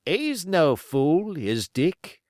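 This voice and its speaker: A man voicing a gruff, impatient pirate coxswain in an audiobook, complaining emphatically in seafaring dialect. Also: a little high, very animated, extremely slow, darkish tone, no echo, studio quality, voice pushed up, heavily acted. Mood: impatient, greedy. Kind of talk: audiobook character voice.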